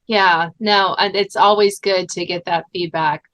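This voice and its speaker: A woman hosting a podcast in casual conversation, animated and informal, often saying "like" and "you know". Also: fairly low pitch, a little slow. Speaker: podcast host and writer.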